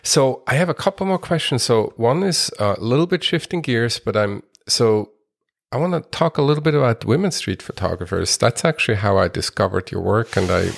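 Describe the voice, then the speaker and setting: A man's voice: medium pitch, fairly quick, gravelly. A man from Switzerland hosting a podcast interview, chatting casually with lots of fillers and restarts. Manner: friendly backchanneling, frequent laughs and fillers. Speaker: podcast host.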